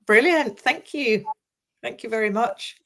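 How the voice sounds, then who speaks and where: A woman's voice: a little high, measured pace, a bit bright. A middle-aged woman, a crime author talking as a guest over a video call, thoughtful and hesitant with many fillers. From Denmark. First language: Danish. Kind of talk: casual interview talk.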